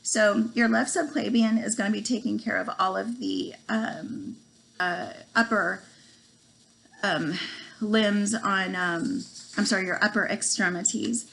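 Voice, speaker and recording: A woman in her forties with a cold, losing her voice, giving a halting home video lesson full of ums and stumbling over medical terms. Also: mid-range voice, creaky, fairly bright tone, poor audio. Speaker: student presenter. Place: small room at home, indoors. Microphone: laptop webcam.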